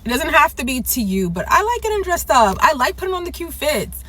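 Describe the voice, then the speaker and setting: A woman's voice: high-pitched, sing-song, brisk, fairly bright tone. A self-assured woman talking casually to camera as a fashion creator. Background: music.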